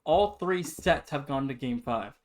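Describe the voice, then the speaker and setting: A man's voice: mid-range voice, expressive, unhurried. A man co-hosting a sports podcast, analyzing results and past seasons in an emphatic, opinionated way.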